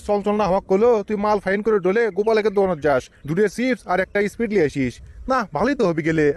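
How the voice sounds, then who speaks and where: A cheeky cartoon layabout uncle, acted by a man, high-voiced and quick. He boasts and grumbles in colloquial banter with a northern Bangladeshi accent. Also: no echo. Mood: grumbling, chatty. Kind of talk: comic cartoon dialogue in rural dialect.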